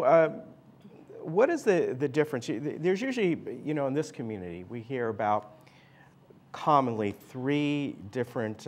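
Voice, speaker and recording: A man's voice: lowish voice, a little mellow. A man hosting an interview show, asking rambling, chatty questions. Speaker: talk show host. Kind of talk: interview host.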